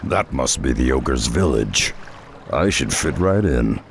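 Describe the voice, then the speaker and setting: Very deep, gravelly half-orc warrior. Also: male voice, slow, slight background noise, full character voice. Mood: calm, assured. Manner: terse, calm, resolute. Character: gruff, steady half-orc warrior hero. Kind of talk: video game cutscene acting.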